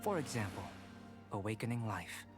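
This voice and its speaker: A man playing a composed young alchemist in an anime game dub, speaking in a measured, formal, detached scholarly way. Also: lowish voice, lively intonation, natural voice. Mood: earnest, explaining. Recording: background music behind the voice.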